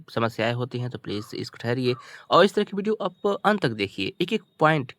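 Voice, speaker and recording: A man's voice: mid-range voice, no echo, clean studio sound. A man teaching through a story, reading phrases out and translating them word by word in a repetitive, explanatory manner. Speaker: teacher, channel host.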